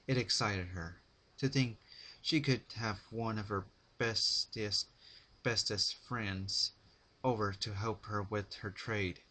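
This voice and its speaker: A man giving a dramatic scripted story narration, phrased deliberately in short, halting chunks. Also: on the low side, pushed and bright, low-quality recording.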